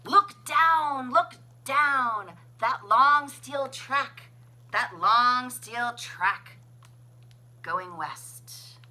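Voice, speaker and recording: A woman reading a children's picture book aloud as a librarian, with expressive, sing-song, deliberate phrasing. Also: sits high. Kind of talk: children's storybook read-aloud.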